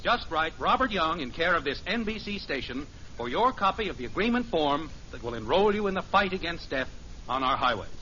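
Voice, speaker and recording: A man's voice: a little high, big pitch swings, brisk, sharp tone, slight background noise. A man doing formal, emphatic 1950s scripted announcing to close a radio show. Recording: muffled old radio recording, faint music.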